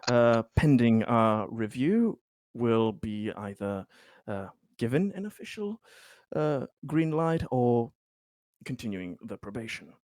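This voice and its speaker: A man playing a placating yet firm bureaucratic official in improvised tabletop roleplay, speaking haltingly and officiously with long pauses. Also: mid-range voice, lively intonation, darkish tone, no echo, clean studio sound.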